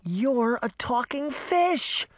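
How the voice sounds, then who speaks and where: A man voicing an excitable, stubborn young girl in a storybook, exclaiming with emphatic indignation. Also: extremely high pitch, moderate pace, no room sound, studio quality, voice pushed up, exaggerated voice. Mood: amazed.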